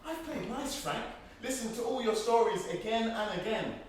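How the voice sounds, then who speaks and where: A man's voice: very high-pitched, animated, moderate pace. Man acting a swooping, desperate young British captive. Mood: defiant, furious. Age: young adult. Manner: exasperated, foul-mouthed. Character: Leon, desperate, sweary young male captive. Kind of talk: theatrical stage drama dialogue. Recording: very echoey, distant mic, faint noise.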